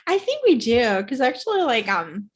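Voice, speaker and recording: A woman's voice: a little high, sing-song, moderate pace, slightly rough. A woman in her forties hosting an interview, enthusiastic and casual, with many fillers and laughs. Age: 40s. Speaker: podcast host.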